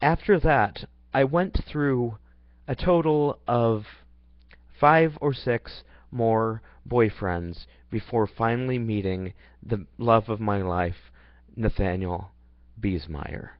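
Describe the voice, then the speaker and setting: A man's voice: lowish voice, takes it slowly, no room sound. A young man reading his personal life story aloud in an earnest, plain way, now and then stumbling and restarting. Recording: dull, dry lo-fi recording.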